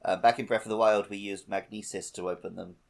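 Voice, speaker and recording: A man's voice: lowish voice, fairly even intonation, fast, vocal fry. A man co-hosting a podcast, casually talking through a video game. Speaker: podcast host.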